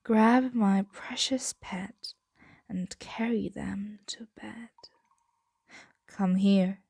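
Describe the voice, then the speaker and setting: A possessive, tender dragon voiced by a woman murmurs in an intimate ASMR roleplay. The delivery is very slow and coaxing, often sinking to a whisper. The tone is dark and velvety, with a creaky edge, caught close on the mic. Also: medium pitch, fairly even intonation, dry recording, full character voice. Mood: soothing, coaxing. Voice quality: breathy, soft, often whispering. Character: possessive, tender dragon hoarding a human pet. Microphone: close microphone.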